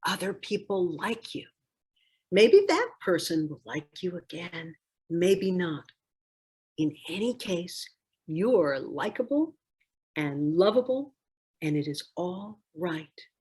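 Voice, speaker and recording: A tiny storybook frog, voiced by a woman reader, offering comfort in a hushed and tender way. The voice is soft and breathy, with gentle reassurance throughout. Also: fairly low pitch, very animated, takes it slowly, heavily acted. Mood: soothing, tender. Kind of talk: picture-book read-aloud.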